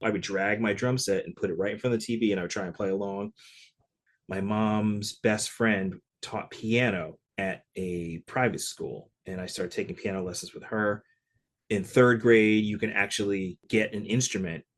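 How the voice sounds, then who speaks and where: Relaxed low-voiced man telling stories. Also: moderate pace. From Connecticut, USA. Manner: relaxed, conversational, many "like" fillers. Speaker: guest. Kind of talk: casual interview storytelling.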